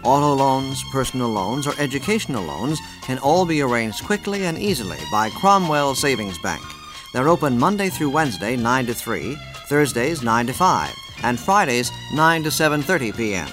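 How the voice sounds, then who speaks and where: A male announcer reading a radio ad with a lively, sweeping intonation and a reassuring tone. Music plays clearly beneath him, as in a local radio spot. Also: moderate pace, low-quality recording. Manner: smooth, reassuring pitch. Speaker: commercial announcer. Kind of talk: scripted radio ad read.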